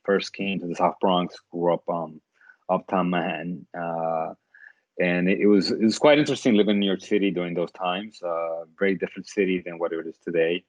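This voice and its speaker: A man's voice: deep voice, fairly even intonation, brisk, no echo, highs cut off. A man in his thirties from the Dominican Republic, a startup CEO answering interview questions thoughtfully, with many fillers and restarts.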